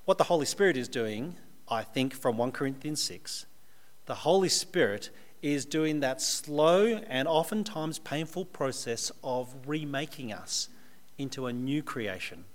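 A man's voice: medium pitch, animated, unhurried. A man with an Australian accent, preaching a sermon to a live audience in a conversational way, with dry humorous asides.

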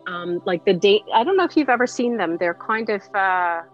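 Female interview guest, mid-range voice, relaxed conversational phrasing, slightly lo-fi sound with faint music. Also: mid-range voice, moderate pace. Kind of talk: casual chat.

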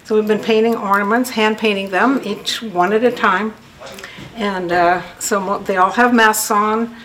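A woman artist giving an informal interview on location in a gallery, with halting, hesitant phrasing. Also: mid-range voice, steady tone, a bit bright, poor audio. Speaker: local artist being interviewed. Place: art gallery. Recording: noticeable background ambience noise.

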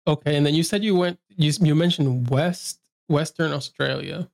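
Man, interviewer, gravelly creaky voice, rambling questions, lots of fillers. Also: fairly high pitch, fairly even intonation, moderate pace. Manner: rambling, filler-heavy questions, laughs easily.

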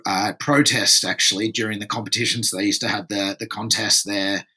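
A man's voice: on the low side, fairly even intonation, moderate pace, sharp tone, husky. A man in his thirties from France, a podcast guest, talking casually and repeating words as he gathers his thoughts. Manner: rambling, repeats words while thinking. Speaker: podcast guest, surf environmentalist.

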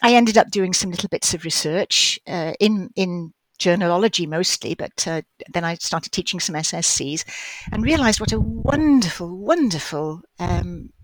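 A woman's voice: fairly low pitch, animated, normal speed, no room sound, poor audio. Chatty woman, older, stammering restarts. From Wales. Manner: chatty, self-deprecating, frequent stammered restarts. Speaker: guest, medical educator. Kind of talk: podcast interview.

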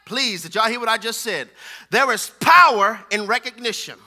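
A man's voice: extremely high pitch, expressive, moderate pace, sharp tone. An American man preaching a fervent sermon with emphatic, repetitive rhetorical phrasing.

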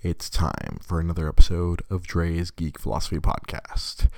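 Congested man with a deep, gravelly voice. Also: steady tone, moderate pace, darkish tone, no echo, studio quality. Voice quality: extra bass in the voice from illness. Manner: rambling, self-correcting, chatty. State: congested, sick voice. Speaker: podcast host. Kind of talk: casual live-streamed podcast monologue.

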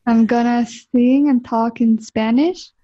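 Flat, dark-voiced woman interpreter. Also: a little high, takes it slowly. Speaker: interpreter into English. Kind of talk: consecutive interpretation. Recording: muffled, dull sound.

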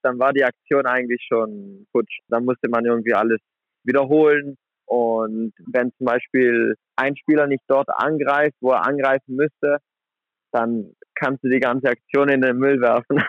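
A young man in his twenties chats about himself in a relaxed way, leaning on fillers as he talks. His voice comes through a tinny phone line and sounds dry and close, with an even middle pitch. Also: dry recording. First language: German. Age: 20s. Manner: relaxed, chatty, many fillers like "sag ich mal". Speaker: guest handball player. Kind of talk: casual interview.

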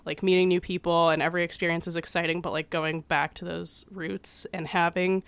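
A woman's voice: fairly low pitch, flat delivery, brisk, clean tone, no echo. A woman in her twenties co-hosting a casual podcast, getting over a cold and telling a story with lots of fillers. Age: 20s. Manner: chatty, many fillers. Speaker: podcast co-host. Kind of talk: casual chat. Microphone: studio microphone.

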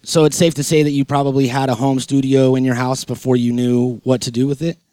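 Mellow-voiced man hosting a casual podcast. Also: mid-range voice, flat delivery, fairly quick, no echo. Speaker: podcast host. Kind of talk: casual podcast interview chat.

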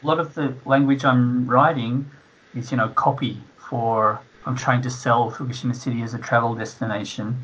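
A man working as a translator, explaining his work in a relaxed, casual interview. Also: medium pitch, moderate pace, slightly rough, muffled recording.

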